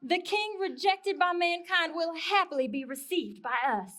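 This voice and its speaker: Puppet-show donkey, female, played by a woman: bright, high voice, proud but humble, earnest storybook delivery. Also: lively intonation, moderate pace. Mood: eager.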